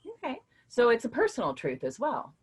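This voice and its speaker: A woman's voice: on the high side, fairly quick, slightly rough, full character voice. An actress playing a patient woman philosophy professor leading a class discussion in a staged play reading. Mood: teacherly. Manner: lively, questioning, teacherly.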